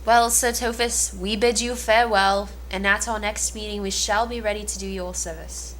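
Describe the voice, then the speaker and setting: Woman as a sly page boy, crisp bright tone. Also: mid-range voice, fairly even intonation, normal speed, a little hiss or hum, close to an everyday voice, poor audio. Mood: teasing. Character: sly young page boy politely teasing a braggart. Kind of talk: amateur cold-read stage play reading.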